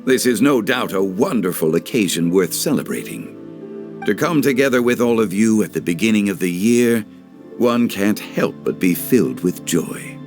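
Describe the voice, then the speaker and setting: A man playing a composed, scholarly gentleman in a video game dub, speaking in a formal, measured, courteous way. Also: lowish voice, lively intonation, music in the background, close to an everyday voice. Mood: serene, gracious.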